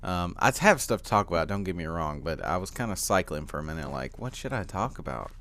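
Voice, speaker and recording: A teenage boy with a deep voice giving casual gameplay commentary, rambling with lots of fillers and easy laughs. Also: animated, fast talker, a bit bright. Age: teens. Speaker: gaming commentator.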